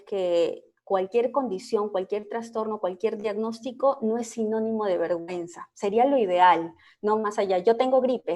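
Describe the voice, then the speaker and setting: A woman clinical psychologist, a guest explaining in an interview, measured and explanatory, with frequent hesitations. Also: medium pitch, darkish tone.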